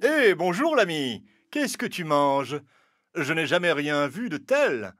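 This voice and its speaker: A man voicing a cunning, smooth-talking fox in an animated fable, with wheedling, theatrical phrasing. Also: fairly high pitch, takes it slowly, no echo, faint music behind, exaggerated voice. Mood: wheedling, curious. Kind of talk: animated fable character acting.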